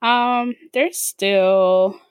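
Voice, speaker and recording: A woman's voice: mid-range voice, fairly even intonation, drawn-out words, clear, resonant tone, no echo. Chatty woman podcast co-host, dry sound. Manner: chatty, lots of likes and ums. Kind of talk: casual chat.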